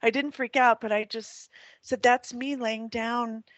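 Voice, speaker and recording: A woman's voice: a little high, steady tone, unhurried, dry recording, highs cut off. Woman recounting a vision, dry sound. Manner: rambling, with many restarts and soft laughs. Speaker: guest. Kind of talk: telling a personal spiritual experience.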